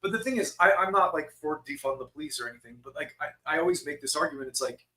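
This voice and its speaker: A man from Utah, a podcast co-host, talking in a casual, profane and animated way. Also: on the high side, quick pace, echoey room. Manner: animated, profane, swings into mocking quoted voices. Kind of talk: casual conversation.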